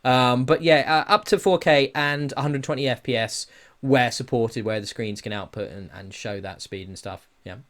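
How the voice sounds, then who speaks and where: British guy hosting a gaming podcast, medium pitch, chatty and informal. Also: moderate pace, a bit bright. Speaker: podcast host. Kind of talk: casual chat about gaming news.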